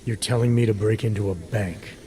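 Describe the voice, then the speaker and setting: An actor playing a gruff, stoic video game agent, terse and deadpan with a low, gravelly voice. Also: male voice, a little fast, a little hiss or hum, close to an everyday voice. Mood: stern, probing. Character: gruff, stoic augmented male agent, calm and wry. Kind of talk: cinematic video game acting. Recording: dramatic music behind the voice.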